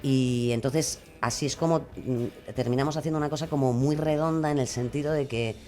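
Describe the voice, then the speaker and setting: A woman from Spain with a notably low voice speaks informally as a guest in an interview. She thinks aloud, stopping and restarting as she rewords her explanations. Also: a little mellow, faint music behind. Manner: halting, thinking aloud, self-correcting. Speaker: podcast creator and guest.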